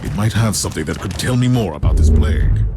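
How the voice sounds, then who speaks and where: A man playing a stern, commanding inquisitor in a video game, speaking formally and with measured authority. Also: low voice, expressive, very noisy. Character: stern, commanding male imperial inquisitor, cold and resolute. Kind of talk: dramatic video game voice acting.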